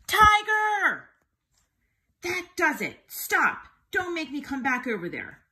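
A woman's voice: sits high, wildly swooping pitch, takes it slowly, bright, forceful tone, pitched above the performer's own voice, full character voice. A woman voicing an exasperated, sleepy young child in a storybook, shushing and scolding insistently. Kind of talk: storybook character acting.

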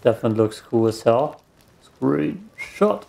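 A man's voice: mid-range voice, big pitch swings, a little slow, vocal fry, warm tone, slight background noise. A man in his thirties with a German accent, casually commenting on a game he is playing, muttering reactions to himself. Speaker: let's play gamer.

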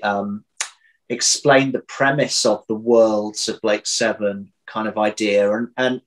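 A man's voice: mid-range voice, poor audio. A man in his thirties chatting casually as an interview guest on a video call, hesitant, often restarting and repeating words as he thinks aloud. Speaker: interview guest, audio drama writer. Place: home room, indoors. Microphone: computer video call.

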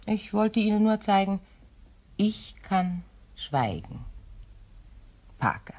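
An actress playing a composed, shrewd widow in a German crime radio play, speaking in a measured, courteous and pointed way. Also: female voice, mid-range voice, big pitch swings, darkish tone, exaggerated voice. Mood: quiet, controlled.

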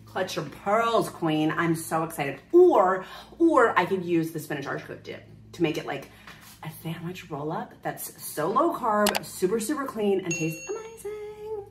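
A woman's voice: lowish voice, big pitch swings, a little slow, slight background noise. A woman in her thirties gushing through a grocery haul vlog, bubbly and upbeat, filmed on a handheld phone. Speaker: vlogger. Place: grocery store aisles, then home.

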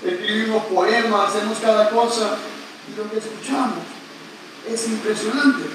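A priest with a fairly high voice delivers a playful, joking sermon to a big congregation. He sounds distant and heavily echoey, picked up by a camera far back under an open-air covered pavilion, with clear background noise throughout. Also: fairly even intonation, moderate pace, a bit bright. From not Puerto Rican. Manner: playful, joking with the congregation, leads call-and-response. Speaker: priest preaching. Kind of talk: sermon at a retreat Mass.

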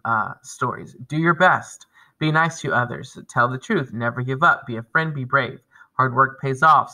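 A man's voice: mid-range voice, pushed and bright, poor audio. A man in his thirties teaching a reading lesson to children, explaining and reading aloud with emphasis. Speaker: elementary school teacher.

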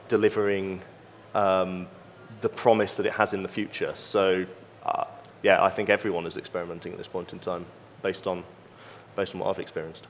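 Guy on a conference panel, lowish voice, careful measured explaining, big reverby hall. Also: a little hiss or hum. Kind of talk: unscripted panel discussion. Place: on stage in a large conference hall.

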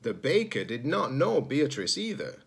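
A man in his forties, a teacher-librarian reading a children's picture book aloud, expressive and slightly husky, pausing to ask listeners questions. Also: medium pitch. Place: indoors, room at home with sloped ceiling.

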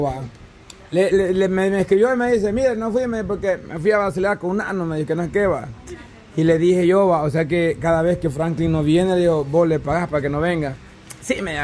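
A Salvadoran man with a high-pitched voice hosts a casual group chat. He teases and presses people, often repeating his questions. He speaks in a covered open-sided yard among a group. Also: darkish tone, a little hiss or hum. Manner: teasing, insistent questioning, repeats himself. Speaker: host of a group vlog.

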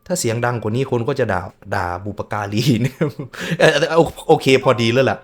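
A man's voice: medium pitch, expressive, brisk. A man hosting a reaction video who mutters casual asides and reads on-screen text aloud as he watches.

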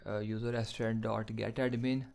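Man, coding tutor voice-over: gravelly, flat delivery, slow step-by-step dictation of code. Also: on the low side. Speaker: programming tutor.

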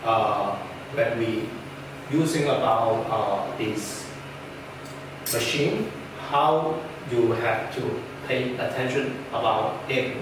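A young man presents a product walkthrough to a room. He pauses often with "uh" fillers and uses phrasing. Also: medium pitch. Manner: halting, frequent "uh" fillers, non-native phrasing. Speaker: product trainer. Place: indoor meeting room with projector screen. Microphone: far camera. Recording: echoey room from far off, background noise.

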